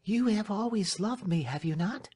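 A man voicing an earnest, agitated young lady in an audiobook, pleading with urgent, hushed entreaty. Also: high voice, expressive, moderate pace, breathy edge, pitched above the performer's own voice.

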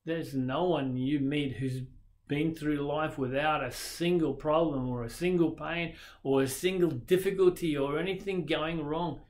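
A man's voice: a little high, fairly even intonation, a little slow, a little mellow. A man in his sixties with an Australian accent, preaching a recorded sermon straight to camera from a small dim room, earnest and reflective. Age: 60s. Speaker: pastor. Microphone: webcam facing him at desk distance.

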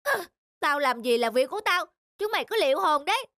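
An actor voicing a bad-tempered, lonely bully of a fox in a cartoon, grumbling and threatening in drawn-out complaints. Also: high voice, very animated, sharp tone, dry recording, clean studio sound, exaggerated voice. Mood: irritated. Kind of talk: cartoon dub acting. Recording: music under the voice.

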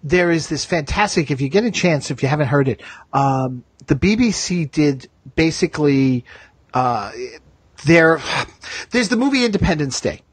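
A man with a somewhat high, husky voice hosts a casual fan chat. He talks in a roundabout way, adding asides as he goes, and the sound is fairly dry. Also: lively intonation, normal speed, no room sound. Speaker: host of a TV fan discussion show.

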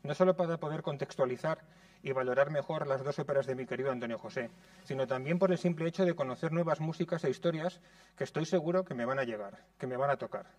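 A man in his thirties from Spain, reading a prepared lecture in a measured way in a conservatory lecture room. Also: on the high side, darkish tone, no room sound, recorded from a distance. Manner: measured, reads from notes.

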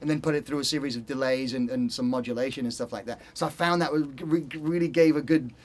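A man's voice: medium pitch, fast, a little mellow. A middle-aged man from Spain, a guitarist talking casually as an interview guest and often searching for words. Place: recording studio control room, seated.

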